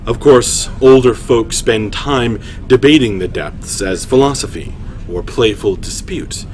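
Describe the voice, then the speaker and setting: A man hosting a story reading, shifting between informal, halting chat and measured storytelling narration. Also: medium pitch. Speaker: writer and host reading his own story aloud. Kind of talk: livestream story reading, narration with casual asides.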